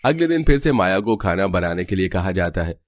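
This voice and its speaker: A man's voice: sits low, big pitch swings, fairly quick. A man narrating a scripted family cartoon story.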